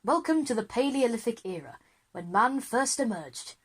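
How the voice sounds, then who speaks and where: A woman's voice: a little high, animated, normal speed, breathy edge, rough recording. A woman playing an enthusiastic, easily flustered male history teacher in a children's audio drama, with an eager, wordy lecturing manner.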